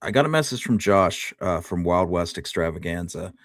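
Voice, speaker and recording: A man's voice: low-pitched, expressive, normal speed, no echo. A man speaking casually as a podcast co-host, with an easygoing manner.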